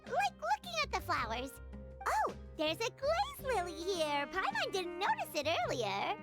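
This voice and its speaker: A little fairy companion from a video game, chirpy and curious like a small child. The voice is squeaky-high and bounces around a lot, with clear music behind it. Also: female voice, huge pitch swings, a little slow, exaggerated voice. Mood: soothing. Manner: childlike, exclamatory.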